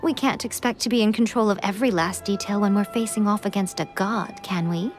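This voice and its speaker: A woman playing a poised, teasing shrine priestess in a video game, speaking in a measured, knowing way with dramatic pauses. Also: mid-range voice, faint music behind, lightly acted. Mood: breezy, wry.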